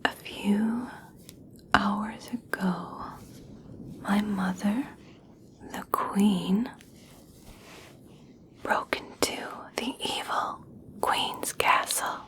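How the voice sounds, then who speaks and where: A gentle young elf princess, acted by a woman speaks in a breathy whisper. She is extremely slow and deliberate, leaving long pauses. The delivery is soothing and caring, in the style of an ASMR personal attention roleplay. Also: medium pitch, fairly even intonation, rough recording. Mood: reassuring.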